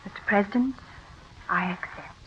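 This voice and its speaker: A woman's voice: medium pitch, normal speed, a bit bright, slight background noise, lightly acted. Through a dull vintage radio recording comes a poised nineteenth-century lady , played by an actress. She speaks in formal, carefully composed sentences, a shrewd legal mind in a period radio play. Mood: earnest, determined. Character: poised, shrewd 19th-century Southern lady lawyer and secret adviser. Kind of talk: 1940s radio drama acting.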